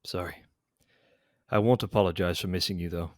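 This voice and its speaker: Man as a deep-voiced, lovelorn captain. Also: fairly even intonation, a little fast, darkish tone, dry recording, clean studio sound, lightly acted. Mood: restrained longing. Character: lovelorn, devoted Sith military captain. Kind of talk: audio drama acting.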